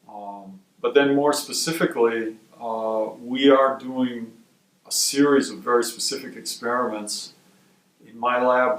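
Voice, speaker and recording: A man from Wisconsin who directs a research institute, giving measured, explanatory interview answers. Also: fairly low pitch, fairly bright tone. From Wisconsin, USA. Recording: roomy sound from a distant mic.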